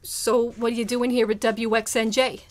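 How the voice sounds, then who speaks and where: A woman's voice: on the high side, little pitch movement, normal speed, close to an everyday voice. A woman acting a perky, teasing young radio station secretary in a snappy old-time comedy style. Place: live stage performance in a bookstore.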